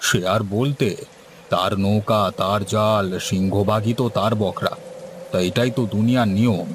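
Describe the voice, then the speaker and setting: Man acting weary old village fisherman, lowish voice. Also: steady tone, moderate pace, a little hiss or hum, lightly acted. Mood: resigned. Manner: rustic, colloquial speech. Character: weary, resigned older village boatman fisherman. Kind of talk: Bengali cartoon story dialogue.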